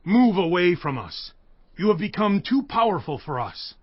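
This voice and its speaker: A man playing a forceful, indignant Philistine king in a dramatized scripture reading, speaking in an emphatic, declamatory way. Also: high voice, sing-song, normal speed, no echo. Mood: commanding. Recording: dull studio recording under music.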